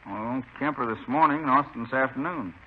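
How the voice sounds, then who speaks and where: An actor playing a prickly, wisecracking small-shop owner in a 1950s radio drama, breezy, slangy and sardonic. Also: male voice, medium pitch, fairly quick, close to an everyday voice. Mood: guarded. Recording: tinny 1950s radio recording, faint noise.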